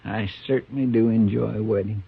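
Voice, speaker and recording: A man's voice: lowish voice, steady tone, fairly quick, gravelly, husky, dry recording, heavily acted. A good-natured frontier sidekick, easygoing and folksy in his drawl. He sounds thin and tinny, like an old 1950s radio broadcast. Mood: friendly. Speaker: actor in radio drama. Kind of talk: 1950s radio drama acting.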